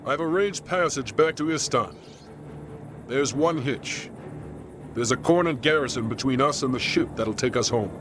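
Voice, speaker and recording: A man playing a confident, roguish soldier leader in a video game, brisk, informal and reassuring. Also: medium pitch, noisy background, close to an everyday voice. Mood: brisk, determined. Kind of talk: dramatic video game cutscene acting. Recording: music behind.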